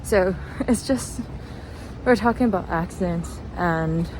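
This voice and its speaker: A woman's voice: fairly low pitch, slow pace. A young woman chatting casually as a vlogger while out walking, with hesitant, meandering thoughts. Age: young adult. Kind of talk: casual rambling walking vlog. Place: outdoors, walking. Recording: noisy outdoor street, traffic ambience. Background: street and vehicle noise.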